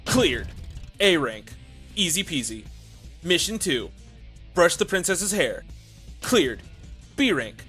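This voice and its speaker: Wry man with a slightly high voice. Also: sing-song, slow, long pauses, a bit bright, slightly rough, faint music behind. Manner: wry, playful asides. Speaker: video host, narrator. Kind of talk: casual scripted story recap commentary.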